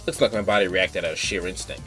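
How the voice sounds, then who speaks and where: Male game streamer, low pitch, casual read-aloud narration, offhand asides, faint music behind. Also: fast, fairly bright tone. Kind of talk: live stream commentary, reading game text aloud.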